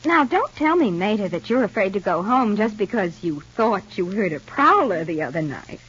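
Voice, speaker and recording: An actress playing a fretful, bossy, excitable housewife in a dramatic 1950s radio soap manner. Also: female voice, medium pitch, moderate pace, slightly rough. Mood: brisk, dismissive. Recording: dull vintage radio recording.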